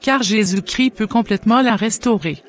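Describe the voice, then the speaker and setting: A man's voice: sits high, normal speed, close to an everyday voice. A man voicing a devout, thankful mother of a grown son in scripted cartoon dialogue, with pious exclamations. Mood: concerned.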